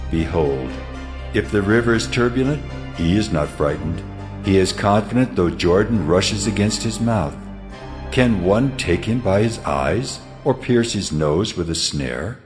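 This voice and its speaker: A man's voice: low-pitched, animated, unhurried, over music. A man playing a stern, majestic God in a dramatized scripture reading, solemn and declamatory as he challenges a mortal. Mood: solemn, awed. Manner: solemn, declamatory, rhetorical questioning.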